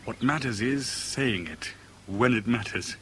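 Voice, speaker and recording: A man's voice: mid-range voice, a bit bright, close to an everyday voice. Actor as an intense, earnest romantic man. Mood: ardent. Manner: earnest, poetic, measured storytelling. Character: intense, romantic middle-aged man, a polite guest. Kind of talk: 1970s British radio drama acting. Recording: faintly noisy old radio recording.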